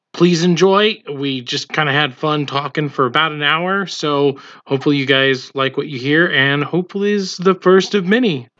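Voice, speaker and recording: A man's voice: on the high side, pushed and bright. A man giving a casual podcast introduction as host, in slightly lo-fi sound.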